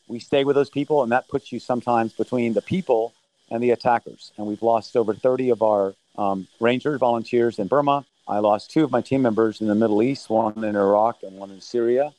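A middle-aged man who grew up in Thailand talks earnestly about his relief work, keeping a steady, measured pace. His voice sits at an ordinary pitch for a man and is recorded close and dry, with no room echo. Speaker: relief mission leader. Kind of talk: remote interview answers.

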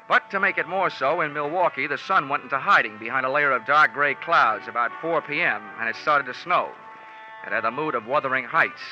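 An American actor playing a tough, wisecracking insurance investigator in a 1940s radio detective drama, with sardonic, clipped delivery. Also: male voice, medium pitch, close to an everyday voice. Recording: dull vintage 1940s radio recording, faint music.

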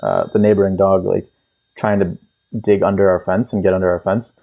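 Man, 20s, from Israel, very deep, warm mellow tone, casual storytelling. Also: flat delivery, fairly quick, creaky. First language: Russian. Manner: easygoing storyteller with fillers. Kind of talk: casual chat, telling family stories.

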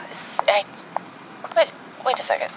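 A woman playing a rattled but determined agent in an improvised audio drama, muttering haltingly and breathlessly to herself. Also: in a whisper, close to an everyday voice, low-quality recording. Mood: anxious resolve. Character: rattled, determined female agent recording a log. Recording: vehicle-like ambient noise behind.

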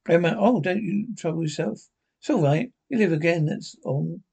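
A man voicing a placid, pious old grandmother, folksy and soothing, with a husky voice. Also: a little high, lively intonation, normal speed, darkish tone, full character voice.

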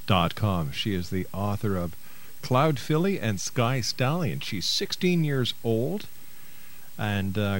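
Low-pitched male radio host. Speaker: radio talk show host. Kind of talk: radio interview and announcing. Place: radio studio.

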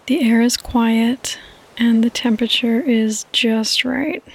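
A woman gently guiding a sleep meditation as a podcast host, in a soothing, breathy voice that often nears a whisper. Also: a little high, fairly even intonation, slow. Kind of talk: soft-spoken guided sleep meditation.

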